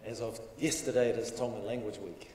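A man in his forties at a lectern, giving a measured, formal welcome speech with phrases that trail off. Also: on the low side, steady tone, dark tone. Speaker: university welcome speaker. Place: conference room, at a lectern. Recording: roomy hall reverb, distant mic, faint hiss.

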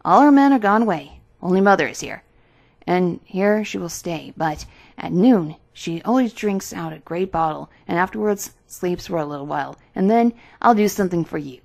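A woman's voice: lowish voice, lively intonation, moderate pace, creaky, a little mellow. Woman playing a bossy, wild little robber girl. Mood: commanding. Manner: commanding, emphatic. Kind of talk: storybook character voice.

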